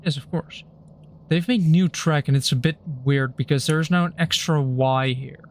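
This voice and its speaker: A young man on a stream, explaining technical points in a dry, deadpan way with puns. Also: a little high, moderate pace, slight background noise. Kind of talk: casual chat while gaming.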